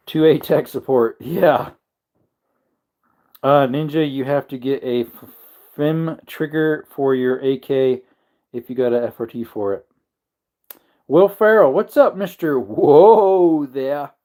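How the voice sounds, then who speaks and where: A man's voice: on the high side, mellow. A man hosting a casual livestream, rambling and joking while greeting viewers in the chat. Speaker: livestream host.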